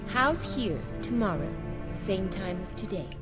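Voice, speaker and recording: A woman's voice: mid-range voice, very animated, unhurried. A woman playing a spirited, haughty young noblewoman in a video game, well-spoken and formal in her phrasing. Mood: polite, chatty. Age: young adult. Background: background music.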